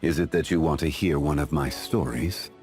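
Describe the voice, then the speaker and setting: A man playing an ancient, calm, dignified gentleman in a video game, speaking in a formal, measured, reflective way. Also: extremely low pitch, soft background music. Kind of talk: scripted video game character voice lines.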